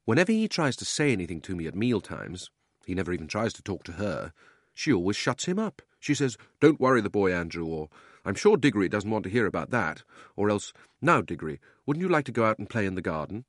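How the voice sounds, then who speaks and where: A man's voice: lowish voice, very animated, quick pace, no echo, clean studio sound. Man voicing an emphatic, defiant storybook boy. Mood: secretive. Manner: wound-up, emphatic. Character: miserable, defiant young country boy. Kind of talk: storybook character reading.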